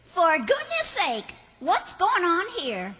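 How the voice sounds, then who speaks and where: A woman's voice: very high-pitched, huge pitch swings, slow, a bit bright, heavily acted. A woman playing a courteous young mother in an old-time radio comedy. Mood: brisk, grateful. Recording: reverberant hall stage recording.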